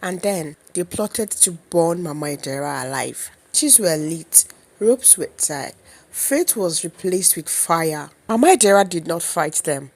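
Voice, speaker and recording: Expressive Nigerian woman telling a dramatic folktale. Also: on the low side, a little slow. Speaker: storyteller.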